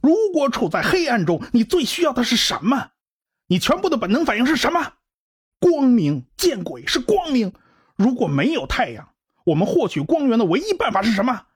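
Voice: medium pitch, sing-song, moderate pace, breathy edge, no room sound, studio quality. An actor in a radio drama playing an intense, alarmed expert who explains a coming doom with emphatic rhetorical questions. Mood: agitated, insistent.

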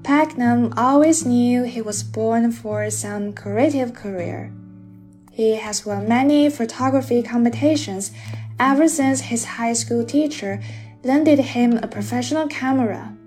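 A woman hosting a podcast, reading a smooth scripted introduction and then asking loose, casual questions. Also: fairly high pitch, a little slow, faint music behind. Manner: smooth, even narration; loose, filler-laden questions. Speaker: podcast host. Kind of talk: scripted narration and interview questions.

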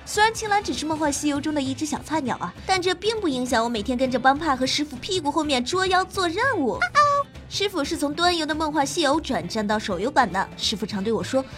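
A woman's voice: high-pitched, expressive, fast. A woman host reading scripted gaming narration in a playful, dramatic storytelling manner. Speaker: game show host and streamer. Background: background music.

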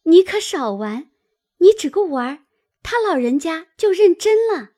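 Lady doing a little servant girl arguing back, high whiny protest, storybook style. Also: big pitch swings, measured pace, warm tone, exaggerated voice. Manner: plaintive, protesting. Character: young servant girl, wronged, answering back to elders. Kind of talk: dramatised classic novel storytelling.

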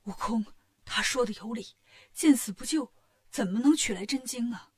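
A woman's voice: fairly high pitch, moderate pace, breathy edge. A woman voicing a timid, gullible male monk master who speaks in a weary, plaintive, fretful way for a story.

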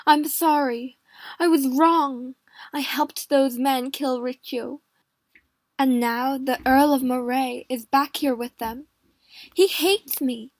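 A woman's voice: high voice, steady tone, slow, no echo. A woman reading a storybook aloud and voicing a frightened, whining young husband who pleads tearfully. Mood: frightened pleading. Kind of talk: audiobook reading.

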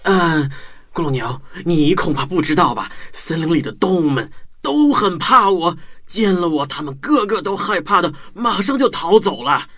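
A man's voice: on the high side, expressive, moderate pace, a bit bright. A man playing a small, cunning, stammering mouse in a children's audio drama, with exaggerated delivery. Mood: sly, confident. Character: small clever timid-seeming mouse, cunning bluffer.

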